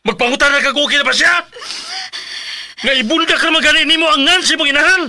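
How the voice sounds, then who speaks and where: A man playing a bitter, grieving uncle in a melodramatic radio drama, scolding with sharp rhetorical questions. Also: very high voice, quick pace, exaggerated voice. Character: bitter, accusing uncle grieving his sister.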